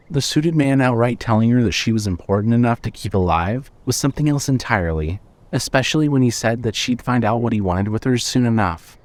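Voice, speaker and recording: A man reading scripted narration for a horror fiction audio drama. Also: fairly low pitch.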